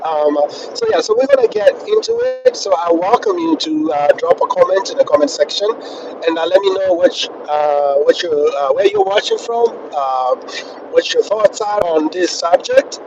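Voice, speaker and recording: A man's voice: wildly swooping pitch, normal speed, vocal fry. A man from Kenya hosting a casual live stream while he rides home on the road, talking in a chatty way with lots of fillers. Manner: chatty, filler-heavy, pitch jumping up on phrases. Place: in a car on the road. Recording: dull, distant phone audio with road noise. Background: road and traffic noise.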